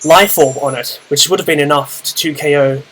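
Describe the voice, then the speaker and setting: A young Australian man with a naturally loud voice, casually narrating his own Pokemon battle, thinking aloud with fillers. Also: a little high, fairly quick, slight background noise, low-quality recording. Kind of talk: casual play-by-play gaming commentary.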